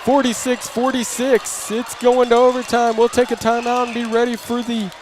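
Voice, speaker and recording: A man's voice: very high-pitched, completely flat delivery, moderate pace. A man giving live basketball play-by-play commentary from a noisy gym with a crowd. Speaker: sports play-by-play announcer. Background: crowd and bouncing basketball in gym.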